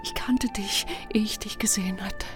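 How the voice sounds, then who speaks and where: A woman's voice: medium pitch, steady tone, normal speed, whispered, lightly acted. An actress playing a solemn, passionate woman in love, speaking lyrically and earnestly in a poetic radio drama. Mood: rapturous. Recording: music behind the voice.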